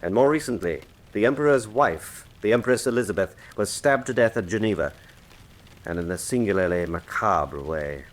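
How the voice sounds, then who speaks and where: Man playing a deep, worldly cardinal, animated delivery. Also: natural voice. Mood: grave. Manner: measured, dignified, dramatic storytelling. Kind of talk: full-cast radio drama acting.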